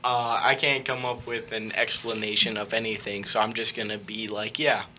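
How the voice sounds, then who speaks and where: A young man co-hosting a radio show in casual chat, rambling and halting as he searches for words. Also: fairly low pitch, little pitch movement, bright, forceful tone. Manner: rambling, halting, many restarts and "uh"s.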